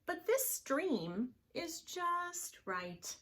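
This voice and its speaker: A woman voicing a big, fussy, choosy male teddy bear in a children's picture book, with drawn-out pronouncements. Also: very high voice, sing-song, fairly bright tone, voice pushed up, exaggerated voice. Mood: contented.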